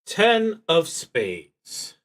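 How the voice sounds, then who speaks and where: A man streaming a solo tabletop roleplaying game, reading prompts and thinking aloud in a halting, hesitant way that trails off. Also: on the high side, huge pitch swings, fairly bright tone.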